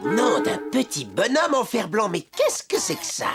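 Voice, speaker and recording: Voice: mid-range voice, wildly swooping pitch, measured pace. An actor in a French children's cartoon dub voicing an earnest, literal-minded young tinkerer who stammers and sounds puzzled. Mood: startled. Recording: loud cartoon music underneath.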